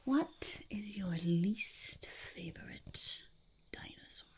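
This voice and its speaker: A woman voicing an eccentric, self-important goblin conducting a whispered ASMR interview, halting and fussy, with a creaky, gravelly voice. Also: mid-range voice, big pitch swings. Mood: probing, quizzical. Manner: halting, fussy, self-repeating, refers to self in third person. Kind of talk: ASMR roleplay, whispered and soft-spoken interview.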